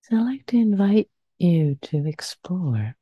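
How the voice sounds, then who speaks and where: A woman with a Persian accent, a meditation teacher speaking softly and slowly with many pauses and fillers, guiding a reflection. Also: lowish voice, very animated, slightly rough, dry recording. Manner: soft-spoken, pausing, with frequent fillers. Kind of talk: guided reflection after meditation. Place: meditation center hall. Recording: muffled lo-fi recording.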